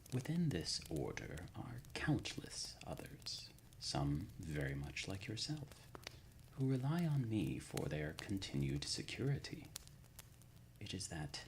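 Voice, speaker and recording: Guy doing a really deep slow ancient lord type for asmr roleplay, soft half-whisper, super formal and shrewd. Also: gravelly, background noise, full character voice. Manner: formal, measured, erudite wording with deliberate pauses. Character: courteous, shrewd, ancient male supernatural lord offering sanctuary. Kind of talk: ASMR roleplay, intimate scripted monologue.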